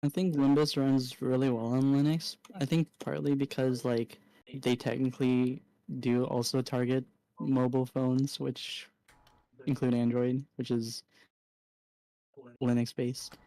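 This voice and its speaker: A man chats casually on a game stream. His delivery is very flat and his tone dark and mellow, at a middling pitch. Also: measured pace, poor audio. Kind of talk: casual chat.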